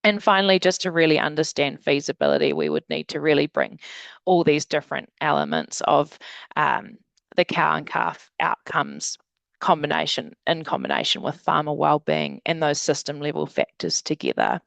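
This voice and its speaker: A woman's voice: sits low, expressive, moderate pace, vocal fry, fairly bright tone, no echo. A woman researcher presenting in a webinar, speaking hesitantly with many fillers. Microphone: video call.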